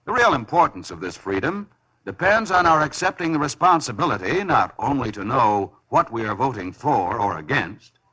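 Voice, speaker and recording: A man's voice: medium pitch, bright, forceful tone, slightly rough, poor audio. An American man reading a formal 1950s radio public service announcement, earnest and oratorical.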